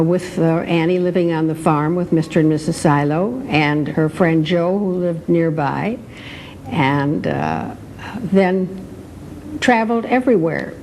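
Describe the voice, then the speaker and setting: An older American woman, a former radio actress, reminiscing in a measured, hesitant way. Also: fairly low pitch, mellow, slight background noise, low-quality recording. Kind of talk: reminiscing in an interview.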